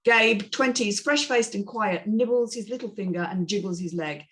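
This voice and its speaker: A woman serves as narrator for a script reading. She delivers stage directions and scene descriptions in a mid-pitched voice. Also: normal speed, fairly bright tone.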